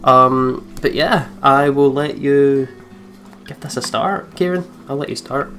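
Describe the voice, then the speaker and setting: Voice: mid-range voice, slow pace, a bit bright, faint music behind. Casual let's play host, mid-range voice. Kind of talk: casual chat, game commentary.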